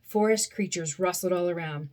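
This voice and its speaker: A young adult woman reading a children's storybook aloud with an expressive, animated delivery. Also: fairly low pitch, moderate pace.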